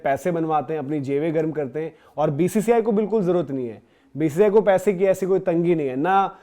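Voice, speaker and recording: A man's voice: on the high side, dark tone. A man presents opinionated sports news commentary, speaking to viewers in an emphatic, conversational way with rhetorical questions.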